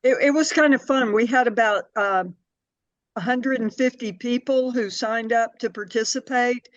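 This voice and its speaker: An older woman answering as an interview guest in a deliberate, plainspoken, conversational way, on a slightly dull recording. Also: fairly high pitch, no room sound. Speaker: interview guest, community activist and city board member.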